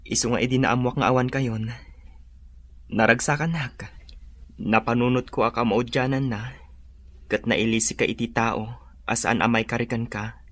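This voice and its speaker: An actor playing a tender, devoted young suitor in a radio drama, speaking in a sincere, sentimental way. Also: male voice, medium pitch, fairly even intonation, normal speed, pauses often, a bit bright, natural voice. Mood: pleading, lovestruck.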